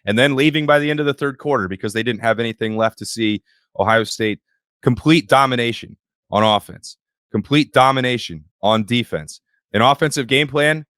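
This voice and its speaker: Male podcast host, slightly hoarse, wry and opinionated, dry close sound. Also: mid-range voice, fairly bright tone, no echo. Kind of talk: sports podcast talk.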